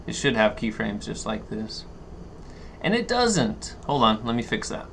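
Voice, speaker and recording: A man giving an unscripted software walkthrough, thinking aloud and haltingly explaining each step as he goes. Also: fairly low pitch, sing-song, gravelly. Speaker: tutorial instructor. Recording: noticeable background noise.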